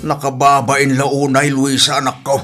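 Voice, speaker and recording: A man's voice: medium pitch, monotone, moderate pace, over loud music, lightly acted. A man with an Ilocano Filipino accent playing a stern father scolding his wayward daughter in a radio drama. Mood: scolding, ashamed.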